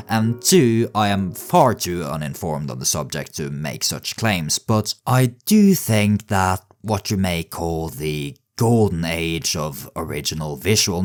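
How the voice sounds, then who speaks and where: Deep-voiced man narrating, lively intonation. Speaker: video essayist, channel host. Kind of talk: scripted video-essay narration with personal asides. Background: background music.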